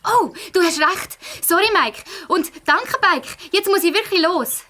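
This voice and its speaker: A woman playing an excitable young fox, easily discouraged, in a children's audio play with a Swiss German accent. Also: extremely high pitch, a little slow, heavily acted. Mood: impressed, grateful.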